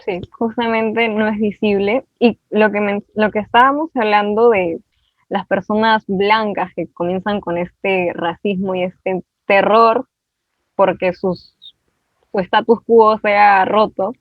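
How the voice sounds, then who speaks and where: A woman's voice: medium pitch, steady tone, no room sound. A woman conducts the interview, often stopping and restarting her sentences. Her voice comes through thin and phone-like, as if over a call. The sound is very dry, with no room behind it. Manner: halting, with repeated restarts. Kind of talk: conversational interview. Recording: dry, tinny phone-like line.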